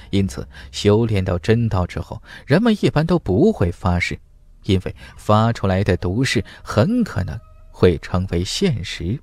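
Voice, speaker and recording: Male audiobook narrator, unhurried, expressive pitch, dramatic storytelling. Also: dry recording. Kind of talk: scripted dramatic fiction narration.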